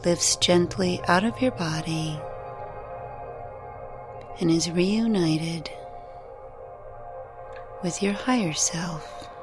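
A woman guiding a meditation in a gentle, soothing, unhurried way, leaving long pauses between phrases. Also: on the low side. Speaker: meditation guide. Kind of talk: guided meditation narration. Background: music.

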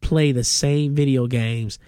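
A man's voice: mid-range voice, steady tone, slow pace, warm tone, clean tone, no echo, clean studio sound. A congested man in his forties hosting a solo podcast, giving emphatic, opinionated commentary. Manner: opinionated, emphatic, rising to exclamations. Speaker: podcast host.

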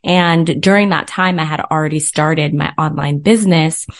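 A woman's voice: sits low, normal speed. A woman with an American accent telling personal stories as an interview guest, chatty and informal, often acting out bits of dialogue. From born in Zimbabwe, raised in the United States. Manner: chatty, informal, animated retelling with quoted dialogue. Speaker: interview guest, business coach. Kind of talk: casual personal storytelling in an interview.

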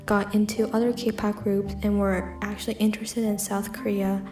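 A young woman from the United States talks through a personal solo podcast episode in a reflective way, leaning on "like" and "um" as she goes. Her voice is mellow and warm, set at a middle pitch. The room is echoey and faint music sits underneath. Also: flat delivery, normal speed. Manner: reflective, frequent "like" and "um" fillers. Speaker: podcast host.

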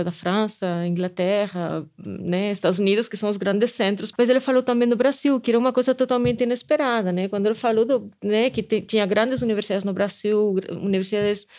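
A woman's voice: medium pitch, darkish tone. Woman, Spanish accent, middle-aged, academic, reflective interview talk, frequent restarts. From Spain. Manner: thoughtful, many restarts and tag questions. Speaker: anthropology professor.

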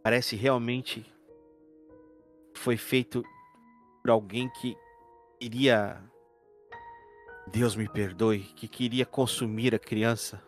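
A man playing an earnest, uneasy detective in a horror roleplaying game, with formal, deliberate phrasing. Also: mid-range voice, little pitch movement, faint music behind, natural voice. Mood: pondering.

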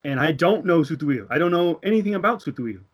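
A man's voice: fairly high pitch, animated, brisk, husky. A man in his thirties from the United States, earnestly telling a personal faith story in casual conversation, laughing now and then and acting out the voices of the people in it. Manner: earnest storytelling, laughs between thoughts, acts out dialogue. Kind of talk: casual religious discussion.

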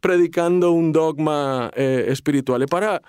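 Thoughtful man, smooth clear voice. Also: a little high, moderate pace, darkish tone, dry recording, studio quality. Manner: reflective, thoughtful with many restarts. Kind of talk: casual podcast conversation.

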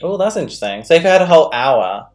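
A woman's voice: sits low, sing-song, quick pace, a little mellow, faint music behind. A woman hosting a casual live-stream chat, talking loosely with lots of fillers. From London. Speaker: host.

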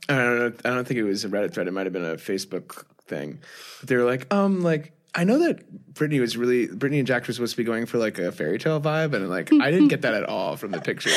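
Animated, jokey man chatting on a podcast. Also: medium pitch. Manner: animated, jokey, mimics others' reactions. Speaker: podcast guest. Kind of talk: casual joking banter.

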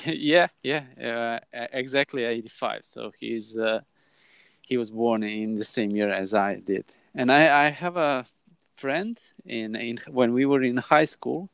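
A man's voice: medium pitch, creaky, no echo. 30s man with a Croatian accent, hesitant casual host, restarts often, dead-dry recording. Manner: halting, many restarts and fillers. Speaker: podcast host. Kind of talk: casual podcast chat.

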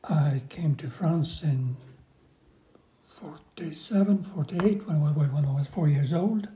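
Man in his seventies, dark roomy voice. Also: a little high. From Northern Ireland. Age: 70s. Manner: unhurried, halting recollection with pauses. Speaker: interview guest. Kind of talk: casual life-story interview.

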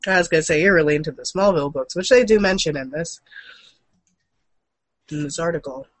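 A woman's voice: sits low, a little fast, no echo. A woman co-hosting a casual gaming and comics news stream, speaking in a loose, informal way. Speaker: show co-host.

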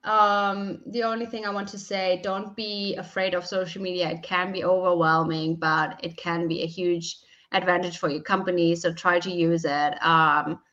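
Bright young woman, dull recording. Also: fairly low pitch, flat delivery, moderate pace, hardly any pauses. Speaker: podcast guest. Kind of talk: conversational interview answers with practical advice.

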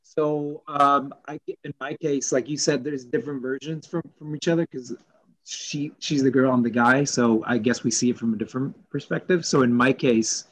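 A man's voice: mid-range voice, steady tone, moderate pace, slightly rough, no echo, poor audio. A man from El Salvador, a guest on a church podcast, telling his story in casual chat with fillers and restarts. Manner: thoughtful, hesitant with fillers and restarts.

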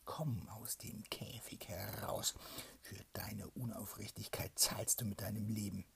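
A man reading a fairy tale aloud and voicing a stern young sorceress princess, mostly whispered, breaking into loud sharp commands. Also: on the low side, fairly even intonation, unhurried, gravelly, slightly rough, full character voice. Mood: scolding.

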